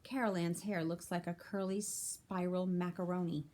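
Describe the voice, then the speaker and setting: A woman's voice: lowish voice, flat delivery, moderate pace, dark tone. A woman reading a children's chapter book aloud like a teacher, with simple explanatory asides for young listeners.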